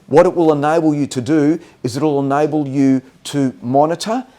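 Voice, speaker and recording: A man presenting technical product training in a halting way, often stopping to check that listeners follow. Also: a little high, fairly even intonation, mellow.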